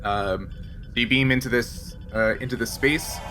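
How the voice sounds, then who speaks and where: A man improvising narration as game master of a casual tabletop role-playing game, pausing to find his words. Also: mid-range voice, sharp tone. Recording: faint music underneath.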